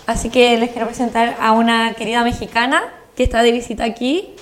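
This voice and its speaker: A woman's voice: a little high, little pitch movement, a little fast. A woman with a Chilean accent speaking politely and formally as a regular on a live radio show.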